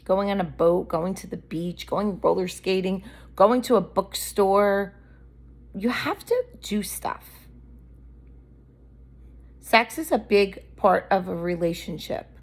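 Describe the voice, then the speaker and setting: A woman's voice: mid-range voice, takes it slowly, long pauses. A woman vlogger talking straight to camera in a candid, blunt, rambling monologue, her voice a little worn from allergies.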